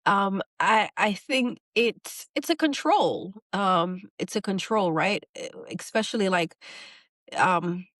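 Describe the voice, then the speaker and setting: A woman's voice: mid-range voice, slow, fairly bright tone, no echo. Earnest New woman, dry sound. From New York. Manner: thoughtful, earnest, frequent restarts and repeated words. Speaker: poet, interview guest. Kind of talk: reflective interview conversation.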